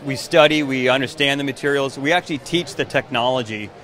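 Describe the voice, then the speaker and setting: Enthusiastic man pitching at a trade show. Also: brisk, fairly bright tone. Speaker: canoe maker. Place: indoor trade show booth. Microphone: handheld mic held by interviewer.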